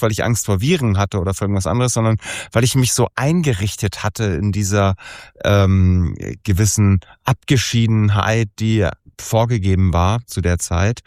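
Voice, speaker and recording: Low-voiced German man, relaxed chat. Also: no room sound, clean studio sound. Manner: relaxed, many fillers, laughs often. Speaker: musician guest. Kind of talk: casual interview talk.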